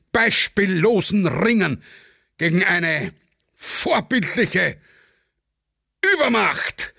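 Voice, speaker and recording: An irascible, helpless Austrian general in a satirical radio play. He splutters pompously in a sharp, Austrian-accented voice that rises and falls dramatically. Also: a little high, vocal fry, slightly rough, dry recording, clean studio sound, full character voice.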